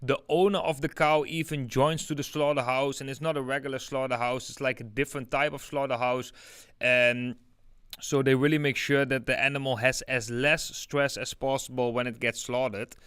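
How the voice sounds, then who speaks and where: A young man with a Dutch accent chats loosely to his live viewers, leaning on fillers like "like" and "yeah." His voice is smooth and resonant, and it sounds dry and close to the mic. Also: mid-range voice, fairly even intonation, normal speed, fairly bright tone, no echo. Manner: chatty, many fillers like "like" and "yeah". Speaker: crypto livestream host. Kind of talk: casual live chart analysis.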